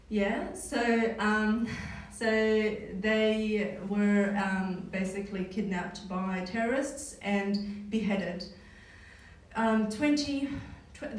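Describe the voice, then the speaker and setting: Woman, New Zealand accent, casual Bible study leader, conversational, heavy hall echo. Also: mid-range voice. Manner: conversational, frequent "like" and "right?" tags. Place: church hall. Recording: echoey hall, mic far off.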